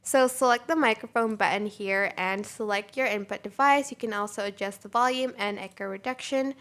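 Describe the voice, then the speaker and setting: A woman hosting a casual software tutorial, talking in an informal, chatty way. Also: medium pitch, normal speed. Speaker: tutorial host.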